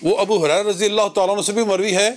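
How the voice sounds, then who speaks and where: A man giving a religious lecture as an Islamic cleric, citing scripture in short, measured, didactic phrases. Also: high-pitched, fairly even intonation.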